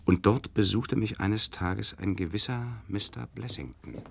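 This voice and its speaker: A nervous doctor of about thirty in a radio play, with a deep voice. He speaks formally and courteously, with some hesitation. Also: measured pace, vocal fry. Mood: earnest, recounting. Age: 30s.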